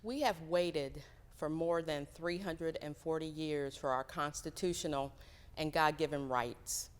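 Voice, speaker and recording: A woman's voice: sits low, clean tone. A woman reading a historic letter aloud as a scripted recitation. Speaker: church member reading quotes aloud. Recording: echoing hall with long reverb.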